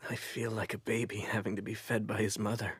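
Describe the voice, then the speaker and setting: A man's voice: on the low side, flat delivery, brisk, slightly rough, no echo. A man voicing a polite, composed teenage boy official in an English anime dub, with formal, earnest speech. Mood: polite, subdued.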